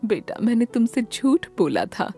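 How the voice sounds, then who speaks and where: A woman voicing a gentle, doting grandmother who coaxes a child affectionately in a children's cartoon story. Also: fairly high pitch, very animated, moderate pace, creaky, breathy edge, faint music behind, exaggerated voice.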